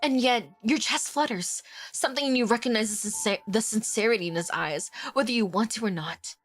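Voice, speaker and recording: A young woman streaming a romance game, congested from a cold, reacting excitedly between stretches of reading the game text aloud. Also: a little high, fairly quick, faint music behind. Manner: excitable, swearing, swings between loud outbursts and quiet reading. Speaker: streamer and vtuber.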